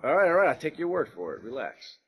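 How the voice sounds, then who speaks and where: An actor playing a cool, sarcastic American criminal in a video game, with an American accent and a dry delivery over background ambience. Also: male voice, a little high, very animated, quick pace, fairly bright tone, husky, no room sound, exaggerated voice. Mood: mocking, cool. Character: sardonic, unflappable tough-guy American criminal. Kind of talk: video game cutscene acting.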